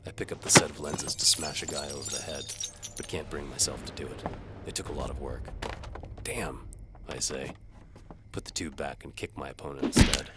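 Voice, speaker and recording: A man playing a sardonic, world-weary medieval bow for hire, narrating in a wry deadpan as scripted audio drama. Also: very deep voice, moderate pace, very noisy. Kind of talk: first-person scripted narration, hard-boiled audio drama.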